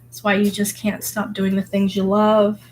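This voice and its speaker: A hoarse woman, sick at the moment, talking in a casual, rambling and reflective way, full of filler words, as she paints. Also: medium pitch, completely flat delivery, moderate pace, a little hiss or hum. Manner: rambling, filler-heavy, musing. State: hoarse from illness. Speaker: vlogger painting.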